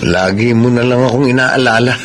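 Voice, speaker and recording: An actor with a Filipino accent playing an evasive husband and property agent in a radio drama, hesitant and stalling. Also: male voice, medium pitch, a little fast, close to an everyday voice. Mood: defensive, casual. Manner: hesitant, stalling when questioned. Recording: background noise with ambience.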